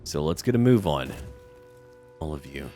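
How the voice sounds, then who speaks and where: Deep-voiced man chatting through a game. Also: moderate pace, soft background music. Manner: thinks aloud, chatty and relaxed, talks to party members. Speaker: gamer playing a role-playing game. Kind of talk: casual let's-play commentary.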